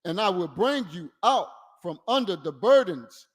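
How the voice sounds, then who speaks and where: High male scripture reader in reverberant hall. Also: big pitch swings. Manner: measured, deliberate, phrase by phrase. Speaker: scripture reader assisting the preacher. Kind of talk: formal reading aloud of Bible verses. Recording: long hall reverb, distant-sounding.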